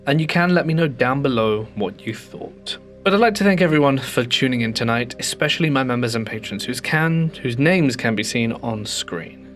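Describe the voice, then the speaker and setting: A man hosting a ghost story channel, reading submitted stories aloud in a calm, measured way with slowed dramatic pauses. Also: mid-range voice, faint music behind.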